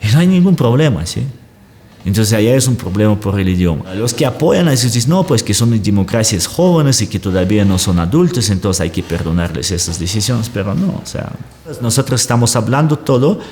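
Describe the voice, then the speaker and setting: A very low-pitched man speaks, explaining his views at length while hunting for words and checking in with his listener. His voice carries a long reverb tail. A faint hum of background noise sits underneath. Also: sing-song, fairly quick, darkish tone. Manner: searches for words, often checks in with a questioning "¿sí?". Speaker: guest analyst. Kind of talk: explanatory conversation.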